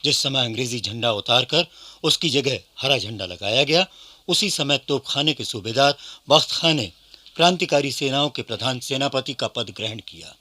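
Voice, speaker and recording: Bright, forceful male radio narrator. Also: medium pitch, lively intonation, normal speed. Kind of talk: scripted historical radio narration.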